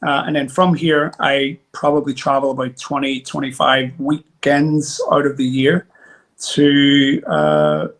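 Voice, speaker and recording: A man's voice: medium pitch, expressive, measured pace, fairly bright tone. A man in his forties from Derry with a Northern Irish accent, a guest telling his story in a rambling way, full of fillers and restarts. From Derry, Northern Ireland. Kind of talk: conversational interview.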